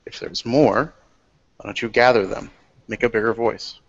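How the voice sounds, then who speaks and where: A man roleplaying his character in a tabletop game, speaking in a calm, measured, knowing way, in a dull lo-fi recording. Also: medium pitch, gravelly.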